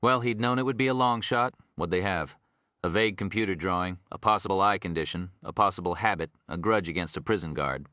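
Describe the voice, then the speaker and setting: Male narrator doing a blunt impatient detective, curt, low and forceful, audiobook, dry sound. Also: brisk, dry recording. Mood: brooding.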